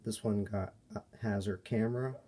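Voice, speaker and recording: A man giving a casual, unscripted show-and-tell as a toy reviewer, speaking in halting, broken phrases. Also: fairly low pitch, steady tone, very noisy. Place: indoors at a table by a window.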